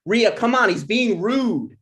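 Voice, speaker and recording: A man plays a touchy grown son and socially awkward host in a comedy read-through. He is defensive and quick to argue, speaking in an everyday conversational voice. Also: high-pitched, expressive, fairly bright tone, husky, heavily acted. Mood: argumentative. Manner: defensive, argumentative. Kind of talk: comedy script table read.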